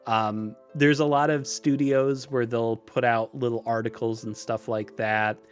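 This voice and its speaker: A man's voice: medium pitch, flat delivery, measured pace. A man talking casually as a podcast guest, explaining things in a loose, rambling way with hesitations. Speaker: podcast guest who runs a tutorial channel. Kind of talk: casual interview conversation. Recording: music mixed under the voice. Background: music.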